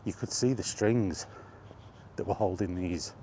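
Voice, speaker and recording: A man chatting casually on his own, enthusiastic but halting, with frequent ums and restarts. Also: low-pitched, warm tone, a little hiss or hum. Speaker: vlogger. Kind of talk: casual rambling monologue. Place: outdoors, walking.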